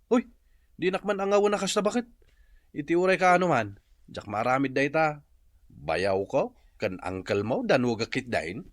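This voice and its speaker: A man's voice: on the high side, very animated, normal speed, no echo. A bewildered uncle in an Ilocano radio comedy. His speech swings up and down in a dry, close recording, with an apologetic, sheepish manner and an Ilocano accent. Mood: bewildered, defensive.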